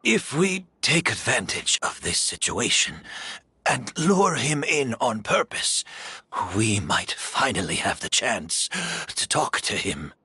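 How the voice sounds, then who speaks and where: Husky, measured, resigned gentleman. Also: mid-range voice, big pitch swings, no echo, exaggerated voice. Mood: calm, earnest. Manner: measured, polite. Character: frail, calm, resigned gentleman with guilty past. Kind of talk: video game voice acting.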